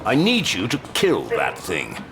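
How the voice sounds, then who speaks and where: An actor playing a pompous, unflappable older British intelligence chief with a gravelly voice and droll understatement, in an audio drama. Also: male voice, medium pitch, wildly swooping pitch, a little slow, over loud music, exaggerated voice. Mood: stern, rousing.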